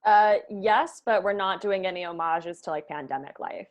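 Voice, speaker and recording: A woman's voice: lowish voice, moderate pace, lo-fi sound. Casual woman leading a group discussion. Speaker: writer leading a script table read.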